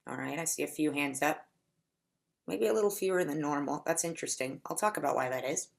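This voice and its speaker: A woman giving a conference talk in a deliberate, explanatory way, slowing down to stretch key words. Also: low-pitched, vocal fry. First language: English. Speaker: conference speaker. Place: home setup for a virtual conference.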